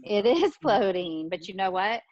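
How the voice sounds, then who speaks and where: A woman's voice: mid-range voice, big pitch swings, a little slow, darkish tone, no echo. Woman preschool teacher, encouraging, asks little kids lots of questions, dry dull audio. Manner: encouraging, questioning, repeats children's answers. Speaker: pre-kindergarten teacher. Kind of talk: interactive lesson for young children.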